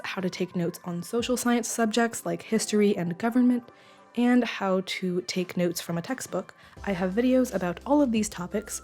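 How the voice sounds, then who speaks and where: A young woman narrates her study routine in a relaxed, chatty voice-over that is a little croaky from a cold. She explains at length, with filler words and small restarts. Quiet music plays behind her. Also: medium pitch. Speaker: study vlogger. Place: lives in the San Francisco Bay Area.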